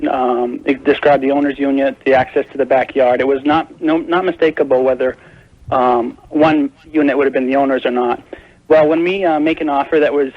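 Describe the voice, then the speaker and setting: A man's voice: mid-range voice, no echo. A man calling a radio show, explaining his problem in a careful, wordy, hesitant conversational way. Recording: dull lo-fi call on radio tape.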